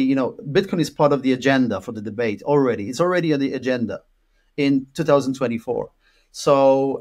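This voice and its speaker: Polish-born interview guest, rambling with fillers, mid-pitched voice, video call from home. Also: male voice. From Poland. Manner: rambling with many fillers and repeated words. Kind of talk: casual interview conversation. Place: room at home with an orange wall.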